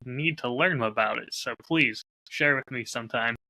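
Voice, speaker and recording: A man's voice: mid-range voice, moderate pace, sharp tone, dry recording, poor audio. A man talking casually as a host, showing off a bottle while recording on a close lav mic. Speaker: podcast host and whiskey audio drama creator.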